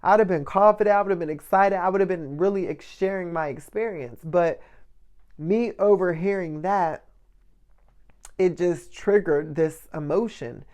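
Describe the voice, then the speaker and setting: A man with a light, soft voice giving a casual motivational monologue as a vlogger, often checking in with the listener. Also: high voice, moderate pace. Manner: conversational, checks in often with "right?" and "you know".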